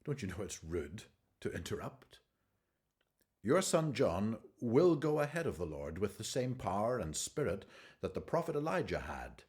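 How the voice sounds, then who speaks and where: An actor playing an angel, a measured and authoritative messenger of God, in a radio drama. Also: male voice, fairly low pitch, sing-song, darkish tone. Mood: stern. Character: angel Gabriel, calm, authoritative, stern male messenger of God.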